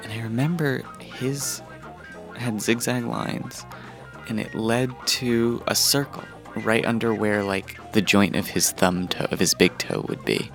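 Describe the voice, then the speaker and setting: A man's voice: lowish voice, steady tone, measured pace, vocal fry. A man casually telling childhood stories in a conversational, chuckling way, with music underneath. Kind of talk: casual personal storytelling.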